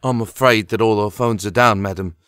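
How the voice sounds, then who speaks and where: A man's voice: lowish voice, brisk, fairly bright tone, clean tone, no echo, studio quality, close to an everyday voice. A man playing a polite, formal, deferential hotel receptionist in an audio drama. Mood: courteous. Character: courteous, soothing male castle hotel receptionist.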